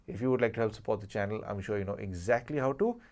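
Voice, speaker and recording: A man's voice: on the low side, fast talker, a little mellow. A man giving a casual, unscripted product review, hesitating and rephrasing as he goes and repeating points for emphasis. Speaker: tech reviewer, channel host.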